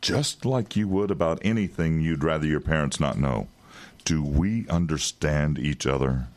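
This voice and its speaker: A man playing a stern, secretive Homeland Security agent in a radio drama, speaking in a measured, authoritative way. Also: rumbling low, a little mellow, no echo. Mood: stern, controlled.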